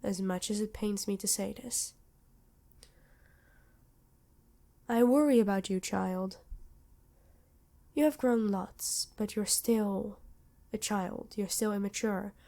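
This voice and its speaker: A woman performs a centuries-old vampire queen with a soft, mellow tone. She is regal yet motherly, protective and worried. Her ASMR roleplay speech is formal and tender, set out in measured phrases with pauses. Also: medium pitch, fairly even intonation, close to an everyday voice.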